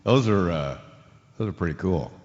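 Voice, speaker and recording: A man's voice: low voice, expressive, moderate pace, darkish tone. A man playing an easygoing, patient sports radio call-in host in a radio drama, casual and dryly indulgent. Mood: breezy. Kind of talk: radio drama parody of sports talk show. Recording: dull lo-fi sound, long hall reverb.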